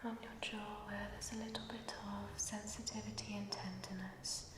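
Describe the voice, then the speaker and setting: A woman giving a soft-spoken ASMR relaxation treatment in a breathy near-whisper, gently guiding in short phrases with long pauses. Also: mid-range voice, level tone. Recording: echoey room with loud background noise.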